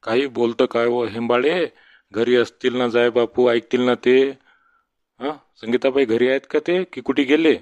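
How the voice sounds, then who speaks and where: A man's voice: medium pitch, steady tone, normal speed, darkish tone, close to an everyday voice. A man voicing a fussy, complaining village father-in-law in a comedy cartoon, with a Varhadi Marathi accent. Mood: grumbling. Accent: Varhadi Marathi from Vidarbha. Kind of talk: comedy cartoon dialogue in rural dialect. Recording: dull, muffled sound.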